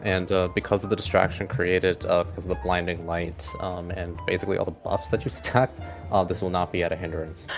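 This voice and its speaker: Low-voiced man running a tabletop game. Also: flat delivery, a little fast, vocal fry, few pauses, over music. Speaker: game master. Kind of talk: improvised narration of a tabletop game.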